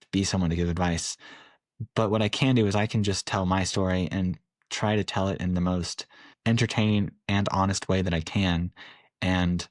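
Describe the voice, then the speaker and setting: A man's voice: deep voice, no echo, clean studio sound. A man in his late twenties, a podcast guest, talking candidly and reflectively with frequent laughs. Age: 20s. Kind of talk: casual interview.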